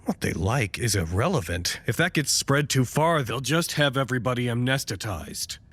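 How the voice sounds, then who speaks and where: A man's voice: mid-range voice, expressive, moderate pace, a bit bright, husky, no echo. A man playing a wry, sardonic stranded doctor in an audio drama, speaking with dry, articulate sarcasm. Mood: cynical, resigned. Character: wry, sardonic male doctor stranded at a cut-off research site. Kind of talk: audio drama acting, conversational.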